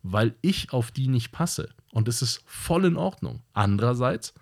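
A man's voice: mid-range voice, animated, a little slow, a little mellow. A man hosting his own podcast, talking in a casual monologue that is blunt and direct and repeats points for emphasis. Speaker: podcast host.

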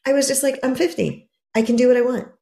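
A woman's voice: high-pitched, steady tone, fast talker, vocal fry, mellow, echoey room. A woman in her fifties chatting casually, rambling and self-deprecating, acting out her own words when she quotes herself. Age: 50s. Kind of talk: casual chat.

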